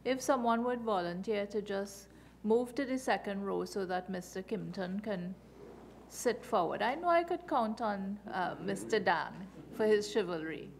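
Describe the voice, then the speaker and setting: A woman chairing a parliamentary committee hearing, formal, brisk and courteous. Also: medium pitch, animated, vocal fry, a little hiss or hum.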